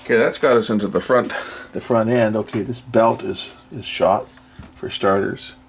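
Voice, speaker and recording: A man's voice: fairly low pitch, steady tone, moderate pace. A man giving unscripted hands-on commentary as a repair video host, thinking aloud and often trailing off or questioning himself. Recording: clear background noise, room ambience.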